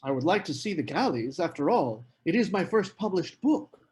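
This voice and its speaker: A man's voice: high voice, big pitch swings, moderate pace, a little mellow. A man playing a proud, earnest writer in a period literary drama table read, speaking in formal phrases. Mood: mildly insistent. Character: Geoffrey Aspen, proud, earnest male writer.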